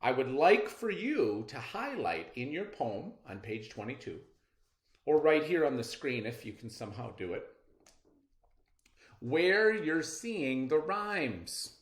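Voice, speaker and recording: Male teacher for children's read-aloud lesson. Slow, highly varied intonation, clear instructive emphasis, echoey room sound. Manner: expressive, instructive, emphatic phrasing. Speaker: elementary reading teacher.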